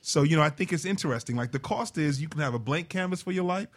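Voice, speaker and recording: A man's voice: a little high, lively intonation, quick pace. A man in his forties, a talk show guest, speaking reflectively and often checking in with "right?" and "you know". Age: 40s. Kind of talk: motivational talk.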